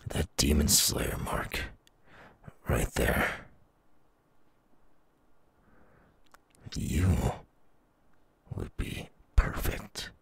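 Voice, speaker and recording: A man voicing a menacing, gloating young demon villain in an ASMR audio roleplay, with a husky, breathy, gravelly voice. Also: extremely low pitch, very slow, a bit bright, no room sound, exaggerated voice. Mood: sinister coaxing.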